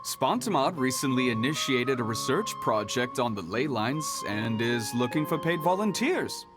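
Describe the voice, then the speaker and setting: An actor voicing a helpful, talkative male clerk who explains procedures. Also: mid-range voice. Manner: businesslike, explaining at length.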